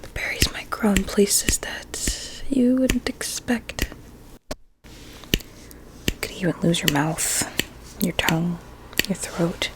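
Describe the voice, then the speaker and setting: A woman playing a vain, sinister blueberry doctor in an ASMR medical roleplay, speaking in a soft breathy whisper with soothing menace. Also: medium pitch, expressive, takes it slowly, long pauses, poor audio. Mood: softly menacing.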